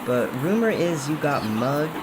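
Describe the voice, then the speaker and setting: A man's voice: fairly high pitch, very animated, a little slow, very noisy. A man playing a blunt, teasing teenage best friend in a comic dub, talking in slang. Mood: awkward, gossipy.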